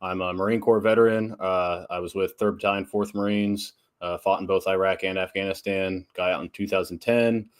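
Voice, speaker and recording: Brief: low-voiced veteran man, relaxed. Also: a little fast, few pauses, clear, resonant tone. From Texas and Oklahoma. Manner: many fillers and restarts, laughs easily. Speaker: guest, nonprofit founder and strength coach. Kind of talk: casual interview talk.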